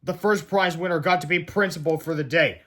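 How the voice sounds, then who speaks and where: A man narrating a children's book aloud in an expressive, emphatic storytelling way. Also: fairly high pitch, brisk. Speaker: read-aloud reader.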